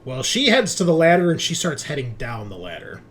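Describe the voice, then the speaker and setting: A man's voice: a little high, huge pitch swings, brisk. A man running a tabletop roleplaying game, moving between conversational rulings and improvised scene narration. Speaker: game master. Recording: clear background noise.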